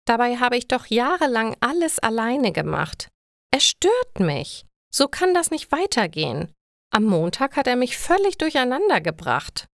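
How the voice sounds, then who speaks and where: A woman's voice: a little high, big pitch swings, normal speed, gravelly, no room sound, studio quality. A woman voicing an exasperated older housewife in a story, complaining in a dramatic, emphatic way. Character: exasperated older housewife with a newly retired husband.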